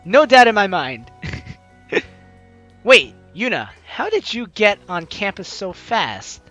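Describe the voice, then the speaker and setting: A woman's voice: medium pitch, big pitch swings, slow pace, fairly bright tone, highs cut off. A woman doing a playful, slangy let's play, reading dialogue aloud and commenting casually. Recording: faint music behind the voice.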